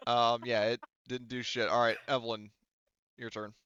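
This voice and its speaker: A man's voice: medium pitch, level tone, normal speed, a bit bright, clear, resonant tone. A man acting as game master in casual tabletop play, informally thinking aloud as he works through rules on a slightly dull, dry microphone.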